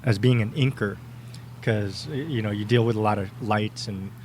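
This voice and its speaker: A man's voice: on the low side, normal speed, darkish tone, slight background noise. A young man chatting casually as a podcast guest, rambling with many fillers and easy laughs. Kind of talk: casual interview chat.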